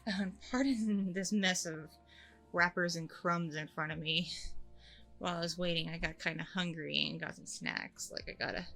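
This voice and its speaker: A woman's voice: fairly low pitch, sharp tone, heavily acted. A woman playing a chatty, apologetic blind date in an ASMR audio roleplay, rambling along casually and a little hesitantly. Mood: sheepish, apologetic.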